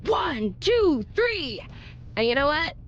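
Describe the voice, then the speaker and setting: A woman's voice: high voice, slow, lo-fi sound, heavily acted. A woman playing a chatty, scheming young wrestler and persuasive friend in a game cutscene, talking casually and expressively. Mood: mischievous. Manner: expressive, conversational.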